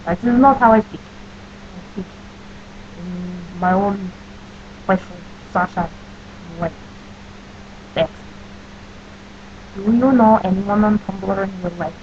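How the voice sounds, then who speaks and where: A woman with a New Jersey accent answering questions for an audio post in stiff, overly formal phrasing with deadpan silliness. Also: medium pitch, slow, pauses often, muffled recording, slight background noise.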